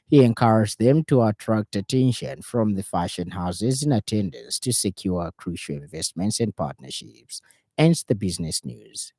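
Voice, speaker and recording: A man's voice: fairly low pitch, animated, normal speed, dark tone, dry recording, studio quality. A man with a Zambian accent reading a scripted radio news bulletin as the presenter. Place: radio studio in Lusaka.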